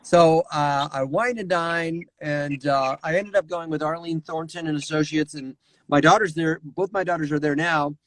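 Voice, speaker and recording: A middle-aged man, a voice actor, telling lively anecdotes over a remote call and slipping into character voices. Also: a little high, moderate pace, no echo, rough recording. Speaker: voice actor guest. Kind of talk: animated anecdotal storytelling.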